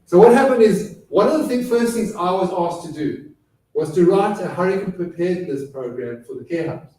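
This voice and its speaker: A middle-aged South African man, his accent softened by long years in Britain, talks through a presentation in a relaxed, chatty way, dropping in ums and little jokes at his own expense. His voice is mellow and slightly raised, and it carries from a distance across a very echoey room. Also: high voice, normal speed. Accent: South African with British influence. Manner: chatty, self-deprecating, frequent fillers. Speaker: guest speaker. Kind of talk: lecture presentation. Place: college lecture room. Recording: echoey room, voice far from mic.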